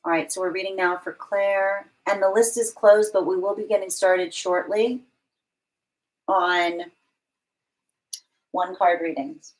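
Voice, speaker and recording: A woman's voice: medium pitch, steady tone, unhurried, long pauses, poor audio. A woman giving a live-streamed tarot reading, thinking aloud, reading viewer comments out and often restarting her phrases. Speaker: tarot reader.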